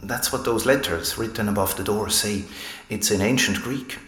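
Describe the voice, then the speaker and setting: Low-voiced, erudite abbey guide. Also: male voice, moderate pace, a bit bright, husky. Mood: keen explaining. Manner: scholarly, eager to explain. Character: Elias, erudite, enthusiastic male abbey librarian and guide. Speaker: library guide and manuscript expert. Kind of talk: audio drama dialogue, explanatory.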